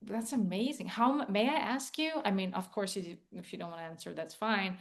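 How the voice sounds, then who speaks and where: A woman hosting an interview podcast, encouraging and quick with approval. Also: mid-range voice, gravelly. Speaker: podcast host. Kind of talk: casual interview.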